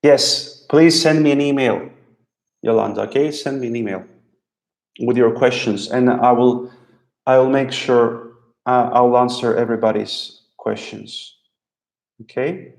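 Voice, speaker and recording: A man with a Russian accent hosting a live stream as a language teacher, speaking slowly and haltingly and repeating his words as he talks. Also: mid-range voice, steady tone, gravelly, darkish tone. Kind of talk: live stream talk answering viewers. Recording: echoey room.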